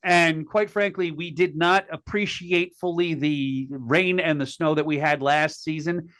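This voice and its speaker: Male podcast host from Connecticut, raised and bright, folksy, restarts his sentences often. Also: on the high side, fairly even intonation. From Connecticut, USA. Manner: folksy, chatty, halting with repeated words. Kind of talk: casual interview talk.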